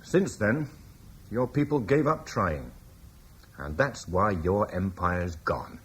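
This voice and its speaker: An actor playing a proud, battle-hardened British war leader, blunt and plain-spoken, with a husky voice. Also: male voice, on the low side, expressive, takes it slowly, full character voice. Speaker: war chief. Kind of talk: radio drama acting.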